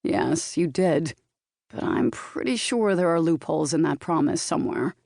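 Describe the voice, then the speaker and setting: A woman voicing a shrewd, teasing male vampire lover in audiobook dialogue, knowing and flirtatious in a low-key way. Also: lively intonation, moderate pace, creaky, a little mellow, no room sound, studio quality, heavily acted. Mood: amused exasperation.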